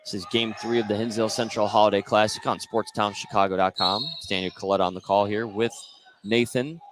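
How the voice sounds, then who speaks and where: A man's voice: on the low side, little pitch movement, brisk. An American man doing live play-by-play basketball commentary on the radio. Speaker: play-by-play announcer. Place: indoor high school gymnasium. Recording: faint gym noise behind. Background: faint gym ambience.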